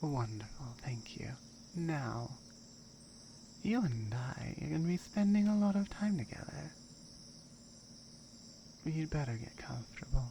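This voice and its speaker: A woman voicing a firm, dominant tiger girl guarding her jungle home in a menacing, deliberate ASMR roleplay. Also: sits low, lively intonation. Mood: calm, firm. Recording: clear background noise with chirping crickets.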